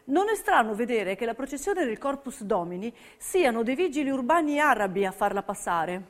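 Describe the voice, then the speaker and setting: An actress playing a narrator who questions and recounts history in scripted documentary theatre. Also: female voice, fairly high pitch, sing-song, normal speed. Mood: declamatory. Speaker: stage actress.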